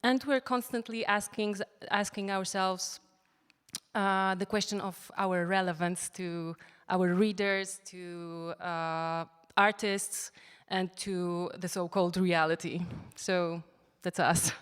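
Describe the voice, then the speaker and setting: A woman speaks slowly and hesitantly as an editor on a festival panel clear in her English. Her voice is very clean and smooth. It rings out with the long reverb of a large hall. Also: lowish voice, fairly even intonation, a bit bright. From Poland. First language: Polish. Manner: halting, heavy with fillers. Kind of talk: panel discussion remarks. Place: festival debate venue.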